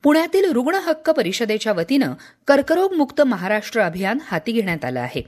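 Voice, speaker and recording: A woman presents a scripted radio newsreel in a formal, measured manner. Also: on the high side, sing-song, loud music behind the voice. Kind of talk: scripted radio news narration.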